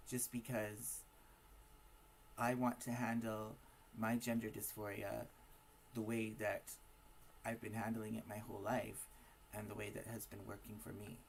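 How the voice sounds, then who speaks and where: A hoarse man with a raspy voice, giving a casual, emphatic opinion monologue to camera full of rhetorical questions. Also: on the low side, monotone, a little slow, pauses often, slight background noise. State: hoarse from smoking and partying. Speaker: vlogger.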